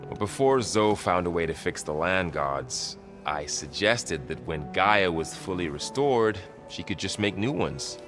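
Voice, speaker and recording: An earnest, animated young warrior. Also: male voice, sits low, a little slow, hardly any pauses, over music. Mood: earnest, sheepish. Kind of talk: naturalistic video game acting.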